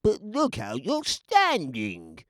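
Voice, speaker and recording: A man's voice: fairly high pitch, wildly swooping pitch, slow, no echo, clean studio sound, pitched above the performer's own voice, full character voice. A man voicing a chirpy, inquisitive animal friend in a storybook, with a lilting, questioning delivery.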